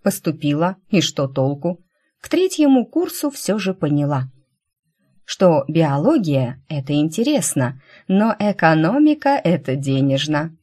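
A woman reading fiction as an audiobook narrator, in a conversational, expressive storytelling manner. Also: low-pitched, unhurried. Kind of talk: scripted first-person fiction narration.

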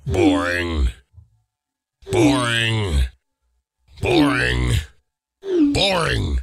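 A man voicing a gruff, bossy middle-aged newspaper boss in a motion comic, blustering and barking with a gravelly voice. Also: mid-range voice, very animated, very slow, a bit bright, no room sound, clean studio sound, heavily acted. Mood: gruff, dismissive.

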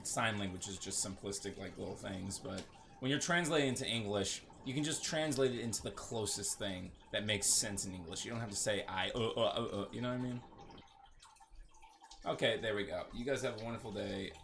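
A man's voice: on the low side, fairly quick, vocal fry, fairly bright tone, slight background noise. A man doing casual, rambling gameplay commentary as host of a gaming video, with a sarcastic, joking manner.

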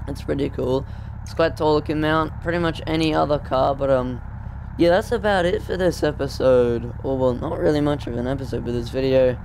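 A man's voice: fairly high pitch, a little fast, creaky, a little mellow, slight background noise. A man giving casual, off-the-cuff commentary as a gaming video host, in a hesitant, rambling way. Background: car engine sounds from a driving game.

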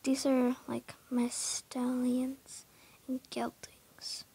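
A young woman with a high, creaky voice gives a casual, unhurried commentary while playing a horse game. Her speech is husky and hesitant, full of fillers, and she often drops to a whisper. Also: completely flat delivery, mellow. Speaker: gamer narrating her horse barn.

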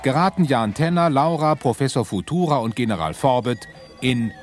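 An actor as the dramatic narrator of a scripted sci-fi audio adventure. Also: male voice, medium pitch, animated, normal speed, faint music behind, natural voice. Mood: suspenseful.

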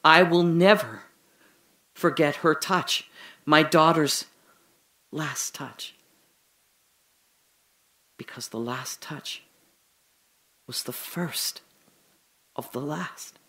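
A man's voice: a little high, heavily acted. A dying father's last words. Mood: wistful. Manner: hushed, halting, awed. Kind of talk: audio drama monologue.

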